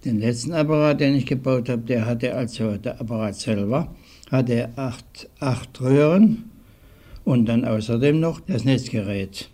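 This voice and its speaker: Older man recalling things, halting and deliberate. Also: mid-range voice, warm tone. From southwestern Germany. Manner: halting, deliberate, old-fashioned formal phrasing. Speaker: interviewee, early radio hobbyist. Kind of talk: recollections in an interview. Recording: 1950s archival radio recording.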